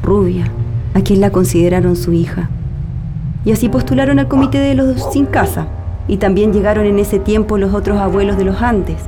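An actress with a Chilean accent playing a reflective woman who recounts her family's hard past in a measured, deliberate way, as in a radio play. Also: mid-range voice, lightly acted. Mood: nostalgic. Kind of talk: radio play acting, scripted reminiscence. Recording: music under the voice.

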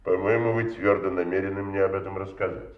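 Man playing a low-voiced urbane gentleman suspect. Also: steady tone, fast, roomy sound, recorded from a distance, phone-quality sound, natural voice. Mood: guarded, evasive. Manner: urbane, ironic. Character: genteel, evasive wealthy gentleman under suspicion. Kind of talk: audio drama acting.

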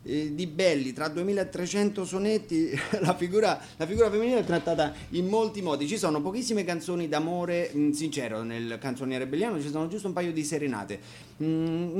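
Higher-voiced man introducing songs. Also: a little mellow, slight background noise. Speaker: musician presenting a concert. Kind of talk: spoken introduction between songs.

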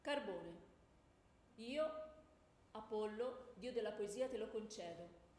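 A woman's voice: medium pitch, lively intonation, slow, darkish tone. A woman playing an Olympian deity, grand and curious, with a theatrical manner, in comic radio theatre. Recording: echoey stage with faint noise.

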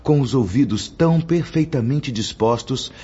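An actor playing a solemn male spirit teacher in a radio drama, with a Brazilian accent and a reverent, measured, declamatory delivery. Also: mid-range voice, animated, dark tone, slightly rough. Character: Brother Clemente, solemn male spirit teacher instructing pupils. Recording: dull lo-fi audio under music.

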